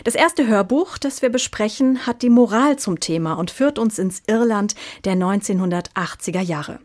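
A woman's voice: medium pitch, a little mellow. A woman presenting book reviews as a radio host, reading scripted introductions and putting questions to a guest.

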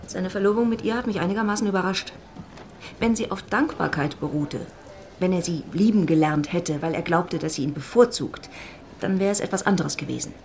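A woman acting as the narrator of a period radio drama, a composed storyteller with a measured, literary delivery. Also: lowish voice, a little mellow, a little hiss or hum, close to an everyday voice. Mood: pensive.

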